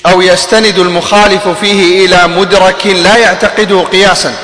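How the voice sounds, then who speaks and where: A man with a high, bright voice recites short passages of a classical text in an even, measured way. His reading echoes in a large hall with a long reverb. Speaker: student reading the text aloud.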